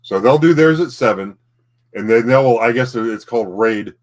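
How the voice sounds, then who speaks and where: A man co-hosting a casual home livestream, talking in halting bursts and often repeating his words. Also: mid-range voice, lively intonation. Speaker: livestream co-host, collectibles seller.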